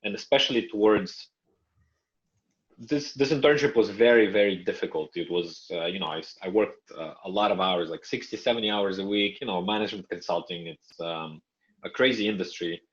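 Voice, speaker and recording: Low-voiced man, native Russian speaker. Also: a little fast. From Canada. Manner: thoughtful, trailing off and restarting. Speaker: podcast guest. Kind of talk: conversational interview. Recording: muffled lo-fi audio.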